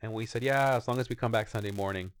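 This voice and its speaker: A man's voice: on the low side, fast. A man in his thirties co-hosting a casual podcast, rambling in an easygoing way and swearing now and then. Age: 30s. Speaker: podcast co-host. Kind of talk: casual chat.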